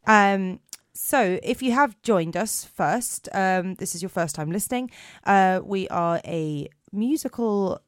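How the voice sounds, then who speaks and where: A woman with a hoarse voice co-hosting a podcast, chatting casually with many fillers. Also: medium pitch, lively intonation, slow, few pauses, no room sound, studio quality. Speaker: podcast co-host. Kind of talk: casual chat.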